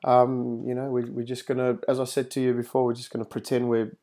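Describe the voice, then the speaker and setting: Gravelly, mellow-voiced man hosting a podcast. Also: mid-range voice, brisk. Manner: relaxed, rambling with many fillers and restarts. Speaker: podcast host. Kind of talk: casual chat.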